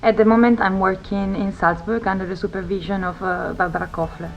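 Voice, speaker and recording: Young woman speaking haltingly. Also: medium pitch, little pitch movement, creaky, highs cut off, faint music behind. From northeast Italy. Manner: careful, halting, with frequent fillers and restarts. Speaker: PhD researcher. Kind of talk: video testimonial.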